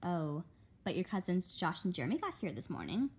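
A woman's voice: on the low side, fairly quick. A woman reading a comic story aloud, acting out the dialogue and speaking the sound words. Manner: animated, acts out characters' dialogue.

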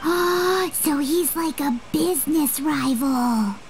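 Squeaky, perky little fairy girl. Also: slow, a little hiss or hum. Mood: curious. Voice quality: squeaky, childlike. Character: tiny floating fairy-like girl companion, perky and chatty. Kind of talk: anime video game dialogue.

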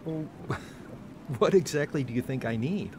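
A man plays a patient, devout younger brother in a radio drama, sincere and gently questioning. His pitch moves around a lot, over loud vehicle noise. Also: animated, normal speed, close to an everyday voice. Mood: mild, questioning.

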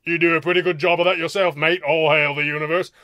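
A man's voice: on the high side, level tone, fast, no echo, highs cut off, lightly acted. A man voicing an officious male alien who scolds emphatically and sticks to regulations, in a story reading. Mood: cross, scolding.

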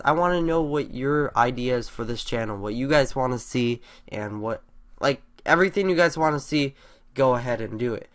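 A man's voice: fairly high pitch, pushed and bright. A young man with a stuffy, congested voice, rambling eagerly to his viewers in a casual vlog at his computer. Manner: rambling, filler-heavy, eager. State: congested from a cold. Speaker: YouTuber. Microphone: desktop USB mic over screen recording.

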